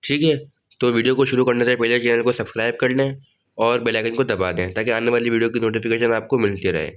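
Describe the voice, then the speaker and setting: A hoarse man with a sore throat walking students through a study guide in a relaxed way, often saying "theek hai". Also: medium pitch, fast. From Pakistan. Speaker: online tutor. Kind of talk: casual study-guide walkthrough.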